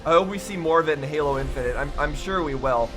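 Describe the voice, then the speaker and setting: A man's voice: a little high, fast talker. Man casually musing, faint music behind. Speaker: reaction video host. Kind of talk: casual reaction commentary.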